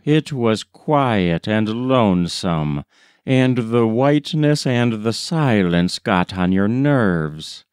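A man reading a short story aloud as scripted literary narration, in a measured, deliberate storytelling manner. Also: mid-range voice, a little mellow, clean tone, dry recording, clean studio sound. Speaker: audiobook reader.

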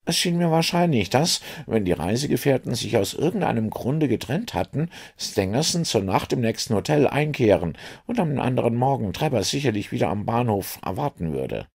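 A man putting on a gruff, worked-up police inspector for an audiobook, his husky voice swinging lively up and down as he reports. The delivery is animated and rushed with alarm. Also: mid-range voice, voice pushed up, exaggerated voice. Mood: agitated. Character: gruff, agitated middle-aged male police inspector. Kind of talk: audiobook reading.

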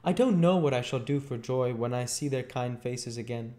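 A man's voice: medium pitch, moderate pace, dark tone, voice pushed up, natural voice. A man voicing a frail, eager orphan boy in a storybook reading, earnest and gushing with gratitude. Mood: joyful.

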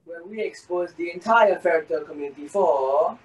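A woman's voice: lowish voice, a little fast, dull audio, lightly acted. A teenage girl playing an affected, theatrical narrator of a fairy-tale show. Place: bedroom. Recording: distant, noisy video call.

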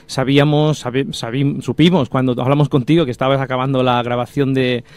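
A man's voice: medium pitch, level tone, fairly quick, a little mellow, no echo. A man with a Spanish accent hosting a live interview as a radio presenter in a studio. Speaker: radio show host. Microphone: studio microphone with headphones.

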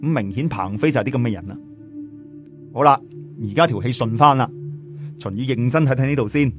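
A man's voice: medium pitch, fairly quick. A man narrating a serial novel in a colloquial, chatty way, often putting questions to listeners. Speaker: audiobook narrator. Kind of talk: animated oral storytelling of a serial novel. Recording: music behind the voice.

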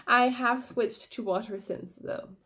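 Chatty young woman with a head cold. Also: on the high side. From Germany. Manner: quick, energetic, chatty. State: head cold, slightly sniffly. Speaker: knitting podcast host. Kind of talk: casual chat to camera. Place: small room indoors. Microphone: phone camera at arm's length, no external mic.

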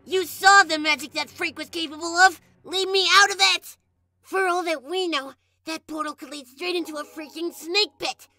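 A woman's voice: extremely high pitch, fairly even intonation, moderate pace, pushed and bright. A woman voicing a small, selfish, sarcastic talking flower with an exasperated, scornful manner, in a comic dub. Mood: panicked, angry. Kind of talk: animated comic dub voice acting. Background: music.

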